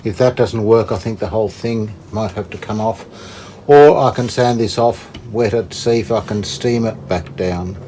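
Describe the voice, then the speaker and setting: A man giving casual hands-on commentary as a hobby restorer, thinking aloud in a tentative, halting way. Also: on the low side, mellow. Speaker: host, hobbyist radio restorer. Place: indoors, hobby workshop. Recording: clear background noise.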